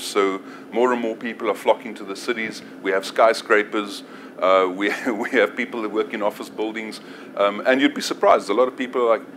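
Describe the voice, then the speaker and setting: A man's voice: lowish voice, fairly bright tone, slight background noise. A South African man in his forties giving a conference presentation, joking and self-deprecating, with frequent restarts. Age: 40s. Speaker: conference speaker. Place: conference session room.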